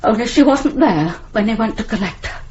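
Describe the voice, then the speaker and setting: Actress as a high-voiced, distraught British mother. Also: expressive, brisk, darkish tone, natural voice. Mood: subdued, worried. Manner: anxious, pleading, insistent. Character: distraught, insistent mother of a missing daughter. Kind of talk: radio drama acting. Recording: dull, lo-fi 1970s radio recording.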